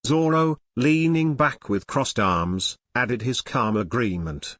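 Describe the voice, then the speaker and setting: A man's voice: medium pitch, slow, a bit bright, no echo, studio quality. A man delivering scripted story narration for an audio drama.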